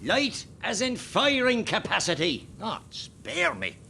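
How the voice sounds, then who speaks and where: A man acting as an Irish rebel officer in a war radio play. His forceful voice swings widely as he hands out terse commands, with some battle noise behind him. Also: high-pitched, huge pitch swings, slow pace, creaky, exaggerated voice. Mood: proud, brisk.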